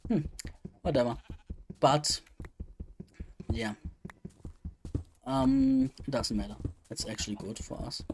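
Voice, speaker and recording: Slow, gravelly young man. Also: mid-range voice, huge pitch swings, darkish tone, slight background noise. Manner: rambling, thinking aloud with many fillers. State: slightly strained voice. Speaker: let's play gamer. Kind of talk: casual gameplay commentary.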